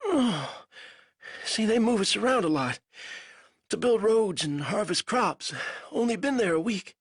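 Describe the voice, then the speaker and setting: A man voicing a starved, delirious male prisoner of war who wheezes and giggles, high and breathless. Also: animated, unhurried, husky, dry recording, clean studio sound, exaggerated voice.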